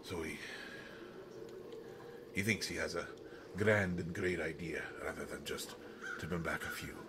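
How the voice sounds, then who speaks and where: Low-voiced hulking monster, slow-witted, gruffly protective, halting phrases, hushed then suddenly loud, audio drama, noisy ambience behind. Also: male voice. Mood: brooding, confiding. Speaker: audio roleplay performer. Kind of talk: intimate dramatic audio roleplay monologue.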